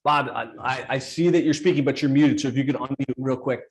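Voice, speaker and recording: A man hosting a live online workshop, coaching agencies on sales follow-up in a brisk, practical way over a video call. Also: mid-range voice, fairly even intonation. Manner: brisk, coaching, acts out sample sales lines. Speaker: workshop host. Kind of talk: live webinar teaching.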